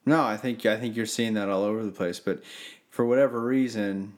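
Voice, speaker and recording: Male podcast co-host, lowish voice, informal conversational delivery. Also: brisk. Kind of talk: casual chat.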